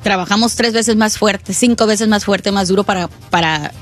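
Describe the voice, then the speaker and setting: A woman's voice: mid-range voice, moderate pace. A woman singer from the United States chatting as a guest in a radio interview, talkative and laughing easily. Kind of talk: interview chat.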